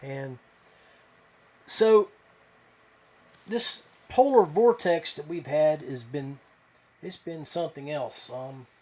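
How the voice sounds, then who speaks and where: Halting, higher-pitched casual podcast man. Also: darkish tone. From West Virginia. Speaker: podcast host. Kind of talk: casual fishing podcast monologue.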